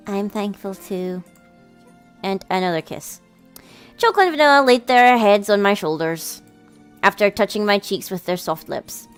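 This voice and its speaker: A woman's voice: medium pitch, animated, normal speed, clear, resonant tone. A woman hosting a let's play, reading a visual novel aloud with casual commentary. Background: game music.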